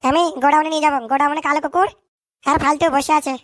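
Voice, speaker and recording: An actor voicing a hot-headed, boastful cartoon son in a comic dub, blustering, excitable and repeating phrases. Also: high-pitched, racing, no echo, studio quality. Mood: bossy. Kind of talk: comic dialect cartoon dubbing.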